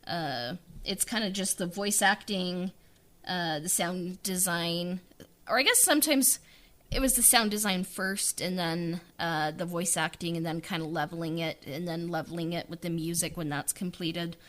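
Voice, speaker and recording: A woman's voice: fairly low pitch, moderate pace, fairly bright tone. A woman chatting casually on a podcast as a writer-director, informal and hesitant in the way she talks. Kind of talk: casual interview chat.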